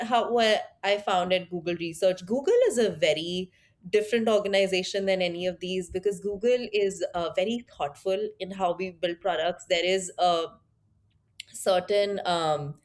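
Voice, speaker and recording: A woman from India with an Indian accent, speaking thoughtfully as an interview guest, with fillers and restarts. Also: mid-range voice. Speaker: guest, tech product leader. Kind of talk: conversational interview.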